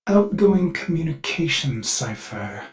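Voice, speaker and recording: A man's voice: fairly high pitch, big pitch swings, measured pace. An actor voicing a polite, eager male ship AI servant in a video game, with a formal, mannered delivery. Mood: informative. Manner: mannered, formal, refers to itself in third person. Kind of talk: scripted game character voice lines.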